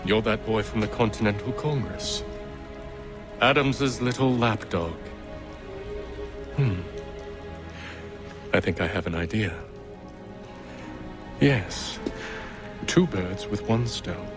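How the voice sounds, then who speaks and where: An actor playing a cold, scheming gentleman henchman in a video game, speaking in a sly, condescending way. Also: on the low side, slow, pauses often, music in the background. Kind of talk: video game cutscene acting.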